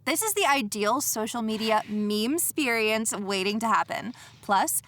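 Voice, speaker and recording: A woman's voice: fairly high pitch, animated, moderate pace, a bit bright. A woman playing a perky, pushy, scheming young sidekick in a comedic audio drama, chirpy, slangy and playful. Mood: eager, pushy.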